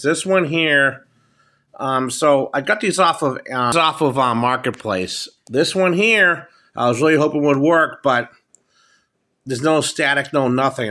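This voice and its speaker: Middle-aged American male, mid-range voice, casual hobbyist presenter, unscripted, matter-of-fact and a bit halting. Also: mid-range voice, bright, forceful tone. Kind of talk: casual off-the-cuff product show-and-tell. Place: indoors at a wooden table in a home. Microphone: handheld phone, speaker behind camera.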